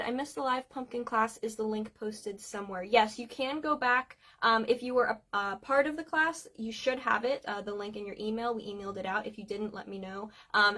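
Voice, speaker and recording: A woman hosting a cake decorating livestream in a relaxed, chatty way, filling pauses with ums. Her voice sits a little high, and she is losing her voice. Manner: chatty, many ums, reads out viewer comments. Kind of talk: casual livestream chat.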